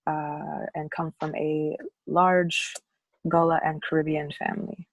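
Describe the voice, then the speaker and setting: A woman's voice: deep voice, flat delivery, unhurried, highs cut off. A woman in her thirties, raised in the American South, introducing herself as a guest on a video call with some fillers. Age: 30s. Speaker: podcast guest. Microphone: video call from home.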